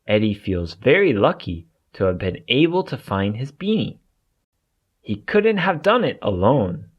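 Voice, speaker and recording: Animated man reading a children's story, unhurried. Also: medium pitch, husky, dull audio. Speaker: storybook narrator. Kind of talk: read-aloud children's story narration.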